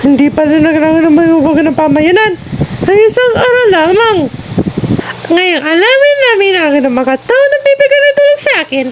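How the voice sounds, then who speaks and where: A woman plays an eager cartoon kid with an extremely high voice. The youngster chats to little viewers in bright exclamations, like a scripted children's show. There is clear noise on the recording. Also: animated, moderate pace. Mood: cheerful.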